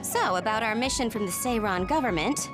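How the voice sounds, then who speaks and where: A woman's voice: on the high side, lively intonation, a little fast, music in the background, lightly acted. An actress playing a composed, analytical young female officer in an anime video game dub.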